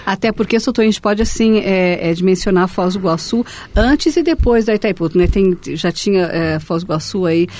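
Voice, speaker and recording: A woman's voice: mid-range voice, animated, normal speed, hardly any pauses, a little mellow. A woman hosting a radio interview, talking casually with hesitant restarts. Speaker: radio host.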